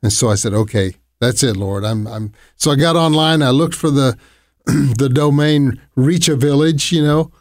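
An earnest man tells how his ministry got started, often pausing and restarting his sentences. His voice sits at a middle pitch. The recording is dry and close. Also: no room sound. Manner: earnest, halting, with fillers and restarts. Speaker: ministry leader, co-host. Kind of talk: sharing a ministry's origin story.

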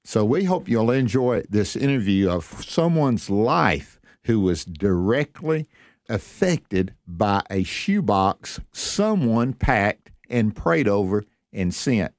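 A man's voice: on the low side, sing-song, takes it slowly, a little mellow, dry recording, clean studio sound. A man hosting an interview show, speaking as a radio presenter to listeners and guests. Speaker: host.

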